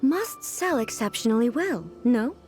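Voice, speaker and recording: An actress voicing a serene, regal Shogun in a video game, speaking in a measured, formal way with gentle authority and curiosity. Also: female voice, fairly high pitch, animated. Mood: composed, interested. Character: almighty female Shogun, serene, regal, curious about human treats. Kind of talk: scripted video game voice acting. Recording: game music under the voice.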